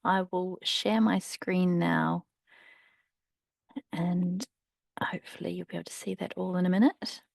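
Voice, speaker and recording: A woman's voice: fairly low pitch, steady tone, measured pace, vocal fry, long pauses, no echo. Friendly woman presenter, dry close sound. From New Zealand. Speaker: sustainability consultant presenter. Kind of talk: webinar presentation.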